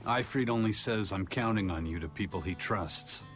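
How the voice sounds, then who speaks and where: An actor playing a stern, principled pirate in a video game, terse and blunt, with music clearly underneath. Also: male voice, fairly low pitch, moderate pace, natural voice. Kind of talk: English video game dub acting.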